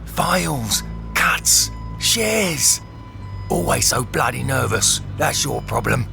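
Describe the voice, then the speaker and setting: Man voicing very gravelly, menacing gangland boss. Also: medium pitch, sing-song, takes it slowly, music in the background, voice pushed up, full character voice. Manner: curt, confrontational, menacing. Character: tense gangland bosses squaring off in a warehouse. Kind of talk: dark audio drama acting.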